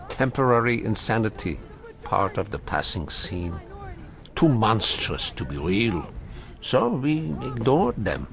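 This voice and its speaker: An actor playing a weary, anguished older German immigrant in a radio drama, speaking with bitter, emphatic rhetoric. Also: male voice, fairly low pitch, vocal fry, heavily acted. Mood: haunted, weary. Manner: slow, emphatic, bitterly rhetorical with repeated phrases. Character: weary old German immigrant man, anguished, defiant, scornful of fascists. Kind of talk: theatrical radio drama acting.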